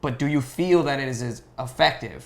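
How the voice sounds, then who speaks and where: A man's voice: medium pitch, a little fast, gravelly. A man chatting casually as a guest host on a talk show. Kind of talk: casual chat.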